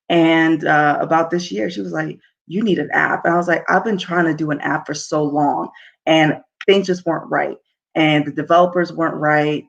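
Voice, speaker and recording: Woman with even pitch, dull audio. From Nigeria and New Jersey, US. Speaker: talk show host and entrepreneur. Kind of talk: informal personal talk to an online audience.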